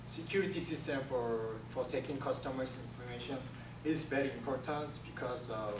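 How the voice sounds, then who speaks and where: Man, Korean accent, young adult, halting speech, roomy echo, background hiss. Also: mid-range voice. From South Korea. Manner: halting, many restarts, simple phrasing. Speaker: student presenter. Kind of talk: class presentation. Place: classroom with projector screen. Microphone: far camera. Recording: echoey room from far off, room hiss.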